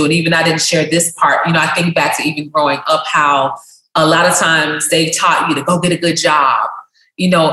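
A woman's voice: on the low side, fairly bright tone. Upbeat woman podcast host, roomy echo. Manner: upbeat, effusive welcomes. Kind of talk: podcast interview.

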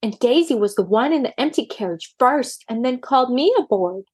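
A woman voicing a timid young girl kitten who pleads in a high, whiny voice. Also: expressive, normal speed, a little mellow, breathy edge. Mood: chatty.

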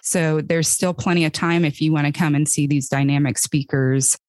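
A friendly podcast host talks easily with the guest and reads out event details. The voice sits at a middle pitch. It is recorded close and dry, with no room sound. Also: steady tone, moderate pace, darkish tone, no echo. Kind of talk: casual podcast interview.

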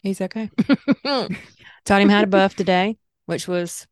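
A middle-aged woman co-hosting a podcast in casual chat, chatty and quick to laugh, saying y'all. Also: medium pitch, no room sound, clean studio sound. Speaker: podcast co-host.

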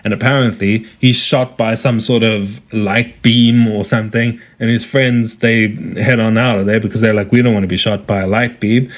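A man with a South African accent, hosting a solo podcast in a chatty, joking way with quick asides. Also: lowish voice, level tone. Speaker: podcast host. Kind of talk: casual solo podcast talk.

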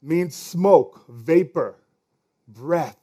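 Male pastor, Nigerian accent, slightly high voice, relaxed conversational preaching with restarts. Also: drawn-out words, slightly rough. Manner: conversational, stumbles over words, chuckles now and then. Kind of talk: sermon.

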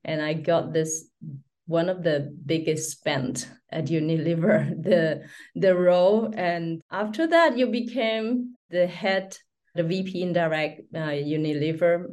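A middle-aged woman hosting a podcast interview, admiring and quick to laugh. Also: sits low, darkish tone. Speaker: podcast host.

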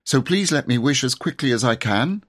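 Storybook schoolboy, acted by a man: eager, easily exasperated, raised pitch, slightly husky, exclaiming delivery. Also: lively intonation, moderate pace, a bit bright. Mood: earnest.

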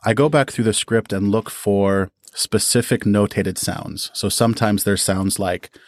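Male podcast guest, low voice, enthusiastic explainer, conversational delivery, dry close sound. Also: steady tone, measured pace, no echo. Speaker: audio drama producer and performer, podcast guest. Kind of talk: conversational interview answers, explaining.

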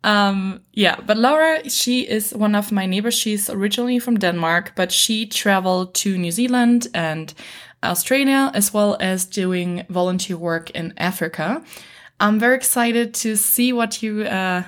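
A woman's voice: mid-range voice, measured pace. A woman hosting a podcast, chatting casually as an interviewer and affirming what is said. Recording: roomy echo, faint music.

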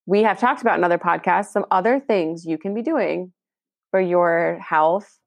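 Woman, fairly low voice, chatty, agreeable, dry close recording. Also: moderate pace, gravelly, no echo. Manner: chatty, agreeable, adds asides. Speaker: podcast co-host. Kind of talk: casual podcast chat.

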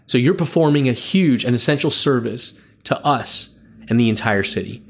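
A man's voice: medium pitch, animated, normal speed. A man speaking as a mayor in a prepared, pre-recorded address to volunteers. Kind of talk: prepared thank-you address. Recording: pre-recorded message played back.

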